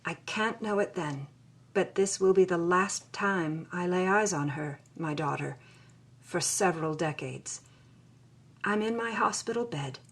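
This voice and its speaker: A woman's voice: lowish voice, unhurried, fairly bright tone. An actress playing a hard-boiled young woman who recounts her past in a wry, anguished audio drama narration. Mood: grave, haunted.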